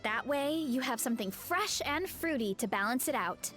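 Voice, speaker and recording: A bashful young champion eater. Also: female voice, sits high, expressive, moderate pace, loud music behind the voice. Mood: helpful. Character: modest young woman, big-eating contest champion. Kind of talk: game cutscene voice acting.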